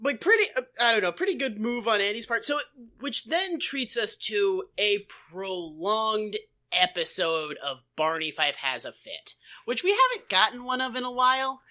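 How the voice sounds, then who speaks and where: A man's voice: high-pitched, big pitch swings, normal speed, sharp tone. A man co-hosting a podcast with casual comedic commentary, riffing sarcastically and swearing freely. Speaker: podcast co-host.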